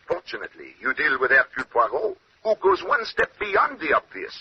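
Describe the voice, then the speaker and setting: An actor playing a fussy, shrewd Belgian detective with a French-Belgian accent, precise, courteous and theatrical, in 1940s radio style. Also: male voice, mid-range voice, huge pitch swings, brisk, breathy edge, full character voice. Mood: composed, confident. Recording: muffled, noisy old-time radio recording.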